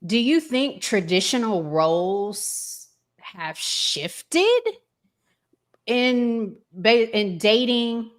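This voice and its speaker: A woman's voice: on the high side, lively intonation, drawn-out words. An American woman hosting a casual relationship talk show, conversational and repeating words for emphasis. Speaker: host.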